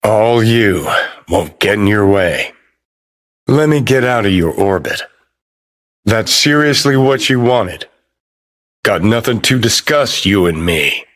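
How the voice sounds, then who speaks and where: A man's voice: on the low side, lively intonation, takes it slowly, long pauses, fairly bright tone. A man acting a brash, cynical rebel rocker ghost in a video game, curt, sardonic and profane, with some noise behind him.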